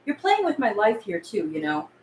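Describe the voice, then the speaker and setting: A woman's voice: medium pitch, huge pitch swings, moderate pace. A woman playing an exasperated, foul-mouthed female insider who fears for her life, at a script table read. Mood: angry disbelief. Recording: echoey, distant mic with background noise.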